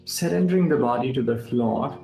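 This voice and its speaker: A man leading a guided relaxation as a yoga instructor, speaking calmly in short instructional phrases with pauses. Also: medium pitch, creaky. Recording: roomy echo with music underneath.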